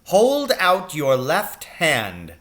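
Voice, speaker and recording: A man plays a menacing schoolmaster captain from a storybook. He barks curt orders loudly, pitched up and forceful, with a hard, stern edge. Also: huge pitch swings, drawn-out words, heavily acted. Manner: barking curt commands. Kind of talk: acted storybook reading.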